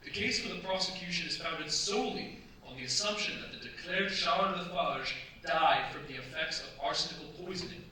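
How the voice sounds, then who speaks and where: Radio play actor: young male advocate, earnest, oratorical, bright-toned, distant in a booming hall. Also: a little fast, hardly any pauses. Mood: formal, impassioned. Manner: formal, oratorical. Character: earnest young male defence lawyer, formal and determined. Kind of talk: staged radio play acting. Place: staged performance in a cultural centre. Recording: echoey hall, distant mic, stage noise.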